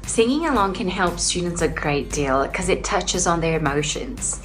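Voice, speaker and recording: A woman's voice: on the low side, animated, normal speed, over music. A young adult Brazilian woman and English teacher answering interview questions earnestly, with many "uh" fillers. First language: Portuguese. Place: home in Sydney.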